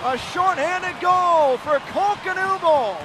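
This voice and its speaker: A man calling ice hockey play-by-play live on radio, excitable and building to shouted calls. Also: very high-pitched, takes it slowly. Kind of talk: live radio sports commentary. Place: broadcast position at hockey games. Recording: live arena broadcast, clearly noisy background.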